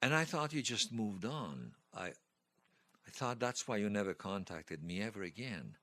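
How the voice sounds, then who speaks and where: An actor playing a gentle, wistful older widower in an audio drama, teasing and tender, with a hesitant, stammering delivery. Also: male voice, lowish voice, sing-song, a little slow, no echo, exaggerated voice. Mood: nervous, regretful. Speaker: interviewee telling his life story. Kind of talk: audio drama acting, personal reminiscence. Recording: music behind the voice.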